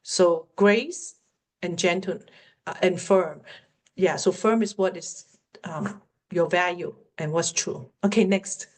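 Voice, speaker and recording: A middle-aged woman with a Cantonese accent, a native Cantonese speaker, answering questions as a workplace communication coach in an online webinar. She talks earnestly and encouragingly and slows down to stress her key points. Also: fairly low pitch. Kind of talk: webinar Q&A teaching.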